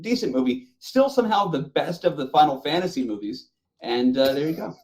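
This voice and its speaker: A man's voice: on the high side, lively intonation, a little fast, a little mellow, slight background noise. A man chatting casually and offering his opinions as a regular podcast panelist.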